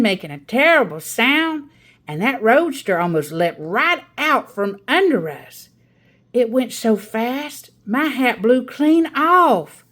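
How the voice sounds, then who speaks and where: A feisty old country aunt, performed by a woman reading a storybook, crows out tall tales in a folksy way. Her voice is raised high and swoops theatrically with big emphasis. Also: full character voice. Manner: folksy, crowing, theatrical drawl. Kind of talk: storybook read-aloud character.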